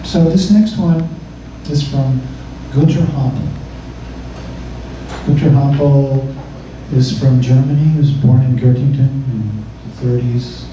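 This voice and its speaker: A man reading anecdotes aloud as spoken-word storytelling, unhurried, pausing between short phrases. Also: medium pitch, dark tone, a little hiss or hum. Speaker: reader of musicians' anecdotes. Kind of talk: spoken-word storytelling over live jazz. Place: indoor jazz club performance. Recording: echoey live club, distant mic, music. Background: live music with guitar or plucked strings.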